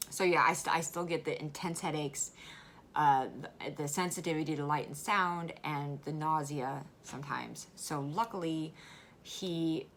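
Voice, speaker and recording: A woman with a low, slightly hoarse voice chats earnestly straight to her viewers, doubling back on her words and breaking into soft laughs. Her talk is casual and plain. A faint hiss sits under the recording. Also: a little slow. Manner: chatty, repeats words, laughs often. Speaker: vlogger. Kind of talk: casual educational vlog.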